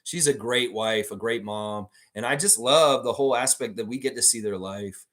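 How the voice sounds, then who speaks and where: Man, sports stream host, casual, laughs a lot, loud excited bursts, reads chat aloud. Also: moderate pace. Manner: animated, laughs often, swings loud and high when joking. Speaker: sports podcast host reading live chat.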